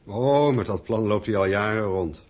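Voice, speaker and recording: A man acting in a radio drama as an earnest, wistful, worried husband and heir, with a husky voice and brooding, expressive delivery. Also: on the low side, normal speed, close to an everyday voice. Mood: concerned.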